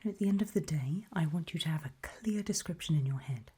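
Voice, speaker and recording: A woman narrating an ASMR roleplay in a breathy whisper, guiding the listener in hushed, deliberate short phrases with pauses between them. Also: deep voice.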